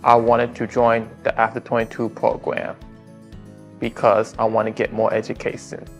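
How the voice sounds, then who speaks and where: A man's voice: mid-range voice, monotone, normal speed, faint music behind. A young man in his twenties giving a simple, earnest student testimonial. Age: 20s. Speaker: program student.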